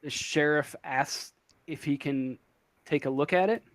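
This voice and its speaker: A man narrating an improvised tabletop game as game master, halting and searching for words. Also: fairly high pitch, dry recording.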